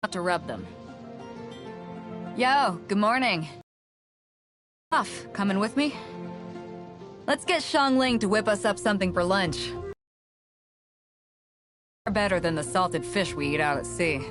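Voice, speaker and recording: Female sea captain, video game character, mid-pitched, confident, breezy, hearty, clear background music. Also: animated, slow, pauses often. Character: bold, hearty, easygoing female pirate ship captain. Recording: music behind the voice.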